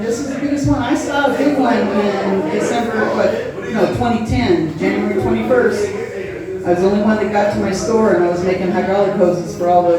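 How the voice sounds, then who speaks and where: Middle aged lady doing rambly standup at a bar, super echoey, far from mic, crowd around. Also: mid-range voice. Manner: rambling, folksy, self-deprecating. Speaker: stand-up comedian and event host. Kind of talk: casual stand-up comedy set. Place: live in a bar and grill.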